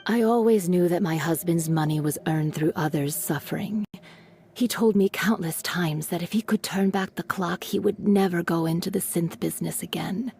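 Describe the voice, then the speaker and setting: A woman voicing a gentle, weary widowed mother in a video game, soft and measured, consoling and reflective. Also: on the low side, creaky. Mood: regretful, somber.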